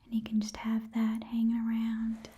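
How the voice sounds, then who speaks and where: A woman's voice: a little high, monotone, normal speed, dull audio. Whispering goblin shopkeeper, played by a woman. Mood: reluctant bargaining. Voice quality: breathy whisper. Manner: rambling, hesitant, repeats phrases softly. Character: haggling goblin pawnshop keeper, shifty, chatty and curious. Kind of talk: whispered ASMR roleplay. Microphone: close ASMR microphone.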